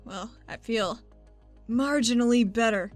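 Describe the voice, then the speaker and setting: A woman's voice: a little high, measured pace. A woman chatting informally and wryly as a player in a tabletop roleplaying game. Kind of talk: casual game chat with bits of roleplay. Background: background music.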